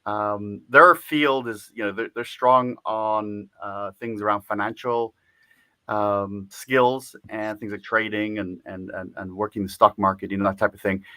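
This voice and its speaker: Bright, crisp-sounding man guest. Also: on the low side, steady tone, measured pace, no echo. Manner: chatty, many fillers and restarts, laughs often. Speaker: guest author. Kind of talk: casual interview talk.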